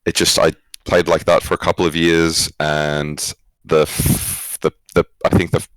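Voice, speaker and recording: Young man, Australian accent, very deep pitch, dry sound, casual, reflective, hesitant interview guest. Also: little pitch movement, normal speed, a bit bright, dry recording, rough recording. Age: young adult. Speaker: esports coach, former pro player, guest.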